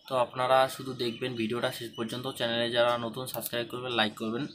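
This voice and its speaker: Man, tutorial host, rapid delivery, repetitive step-by-step instruction, noisy home-made recording. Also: mid-range voice, little pitch movement. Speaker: phone repair tutorial host. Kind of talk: hands-on tutorial talk.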